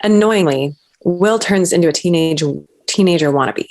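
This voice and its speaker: A woman narrates stage directions from a script. Her voice sits a little low. The delivery is scripted screenplay narration. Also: moderate pace, darkish tone.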